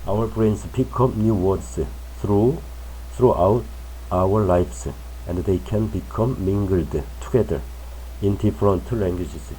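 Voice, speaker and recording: A man reading a magazine article aloud for English learners in a careful, measured way. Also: lowish voice, warm tone, a little hiss or hum, poor audio. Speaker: English news reader.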